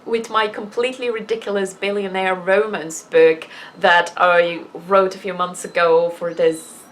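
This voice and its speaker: A woman's voice: on the low side, fairly even intonation, a little slow, slight background noise. A woman chatting casually in a personal vlog, candid and self-deprecating, rambling along with emphatic asides. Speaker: vlogger and fiction writer.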